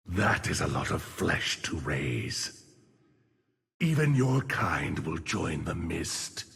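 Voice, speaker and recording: Game casting: a massive, mournful grave-keeping monk, deep and husky, solemn delivery drenched in reverb. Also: male voice, sing-song, a bit bright, full character voice. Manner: somber, slow, solemn. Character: huge somber undead gravedigger monk, shepherd of lost souls. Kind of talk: video game character voice lines. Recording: long cavernous reverb effect.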